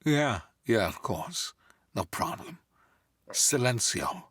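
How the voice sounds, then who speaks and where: A man voicing an awkward, nervous, eccentric oddball in a comic table read, with halting delivery and odd stresses. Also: medium pitch, sing-song, very noisy. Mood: earnest, awkward.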